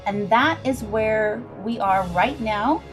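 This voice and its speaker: A woman's voice: on the high side, slow, over music. A woman hosting a livestream, recapping a story informally and explaining it directly to her viewers in a chatty way.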